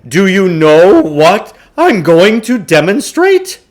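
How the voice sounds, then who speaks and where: A pompous storybook huckster, acted out by a man reading aloud, pitched very high and projected. He lectures grandly, with big swoops in pitch, as if every idea were a revelation. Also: big pitch swings, slow pace, full character voice. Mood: challenging. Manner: grandiose, speaks of himself in third person. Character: pompous showman lecturer selling crackpot mind-control theories. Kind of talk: storybook character voice in a read-aloud.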